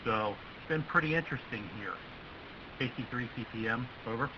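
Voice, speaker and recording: A man's voice: medium pitch, a little fast, highs cut off. American man on a hissy radio link. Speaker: amateur radio operator. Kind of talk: casual ham radio chat. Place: radio voice link, heard from the far end.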